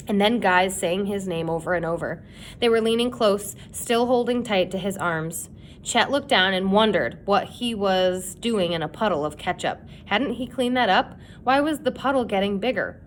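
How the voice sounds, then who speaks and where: Woman reading dramatically over background noise. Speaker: storybook reader. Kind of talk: children's chapter book read-aloud, scripted narration. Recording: clear background noise with rumble.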